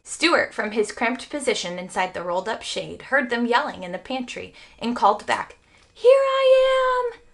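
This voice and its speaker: A woman's voice: mid-range voice, normal speed, vocal fry, few pauses. A woman teacher reading a children's story aloud expressively, as if to young students. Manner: expressive, explains phrases to young listeners.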